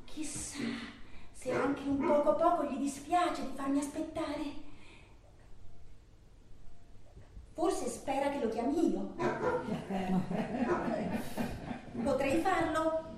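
High-voiced lovelorn woman, echoey. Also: darkish tone. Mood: anxious longing. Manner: dramatic, halting, swinging from murmurs to outcries. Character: lovelorn, anguished woman alone, waiting by the telephone. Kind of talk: theatrical stage monologue. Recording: roomy, distant, echoing, faintly noisy.

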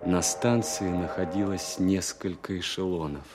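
Low-voiced tense young wartime soldier. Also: male voice, unhurried, background noise. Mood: tense, watchful. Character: young Soviet counterintelligence soldier on night surveillance, obedient, tense. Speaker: first-person narrator. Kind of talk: dramatic scripted narration in a war radio play. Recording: music bed under radio play. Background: loud music.

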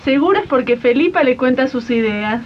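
A woman plays a patronising housewife who employs maids and feigns kindness. Her voice is high and brisk, in radio drama style, on a dull archive recording with faint rumble. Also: lively intonation. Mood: brisk, condescending. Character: condescending lady of the house, falsely kind employer. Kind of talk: 1980s amateur radio drama acting.

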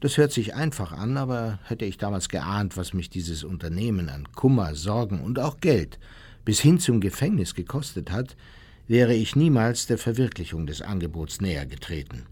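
An actor playing a self-justifying German businessman, narrating his memoirs formally and deliberately in a radio play. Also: on the low side, very animated, mellow, breathy edge. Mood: aggrieved, proud. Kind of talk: scripted first-person radio play narration.

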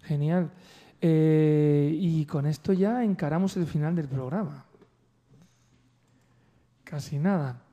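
A man's voice: a little high, takes it slowly, long pauses, dark tone, dull audio. A man co-hosting a radio programme in a casual, chatty style. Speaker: radio show co-host.

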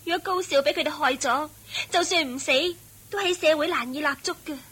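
A woman's voice: high voice, fairly quick, fairly bright tone. A woman with a Hong Kong Cantonese accent playing an anxious young woman who pleads earnestly for help in a radio drama. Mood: pleading.

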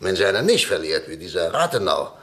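An actor playing a stubborn German professor who argues with sharp scorn in a radio play. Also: male voice, low voice, very animated, fast, exaggerated voice. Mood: scornful. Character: stubborn middle-aged German professor, bitter. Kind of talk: 1960s radio play acting.

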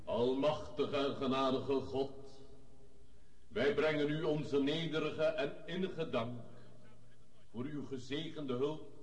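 A man's voice: mid-range voice, takes it slowly, lightly acted. An actor playing a devout, solemn Orthodox patriarch in a radio drama, intoning reverently to his flock. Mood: reverent, joyful. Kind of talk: ceremonial radio drama oratory. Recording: echoey hall, distant, with noise.

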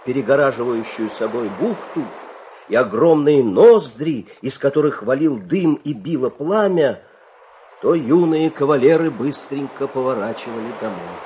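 A man narrating a fairy tale in a measured, expressive, theatrical storytelling style. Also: medium pitch, a little hiss or hum. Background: music.

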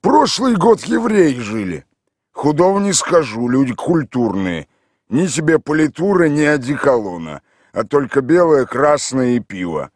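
A man voicing a hard-drinking village man who drawls and blusters loudly. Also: mid-range voice, sing-song, measured pace, no room sound, pitched above the performer's own voice. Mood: rowdy.

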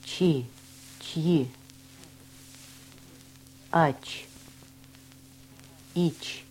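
A woman reading language-course drills and dialogues with slow, deliberate, carefully enunciated speech. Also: low-pitched, darkish tone, a little hiss or hum, poor audio. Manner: clear, deliberate articulation of each word.

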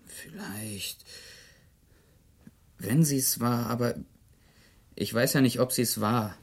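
Wary suspect, slow husky voice, curt and unsure, radio drama. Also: male voice, mid-range voice. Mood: wary, stubborn. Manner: hesitant, curt. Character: wary, bewildered male suspect, plain-spoken and stubborn. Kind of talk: German radio play acting.

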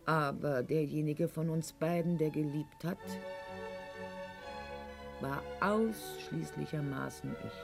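An actress playing a proud, wounded middle-aged aristocratic lady in a radio play monologue, articulate and ironic, with weighted pauses. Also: sits low, creaky, music in the background, heavily acted. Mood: wounded, confessional.

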